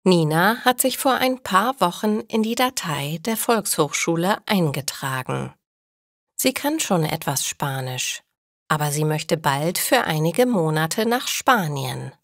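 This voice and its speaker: A woman narrating a story for language learners in a slow, clear reading. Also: deep voice, animated, dry recording, clean studio sound.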